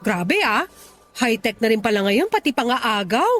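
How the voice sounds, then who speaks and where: A woman's voice: fairly high pitch, very animated, normal speed, a bit bright. A young woman acting a hurt, angry, sarcastic girlfriend in a radio drama. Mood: bitter, hurt. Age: young adult. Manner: sarcastic, confrontational, emotional. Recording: music behind.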